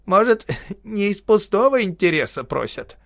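A man's voice: sits high, sing-song, moderate pace, gravelly, a bit bright, no room sound, studio quality, pitched above the performer's own voice, exaggerated voice. A man voicing a grey, sly old man who speaks in a sugary, wheedling voice.

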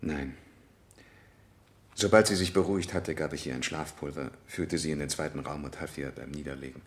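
Deep-voiced, guarded witness. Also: male voice, normal speed. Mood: weary, subdued. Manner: terse, guarded, subdued answers. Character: defensive married father under questioning, weary and guarded. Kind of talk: 1970 German radio play acting.

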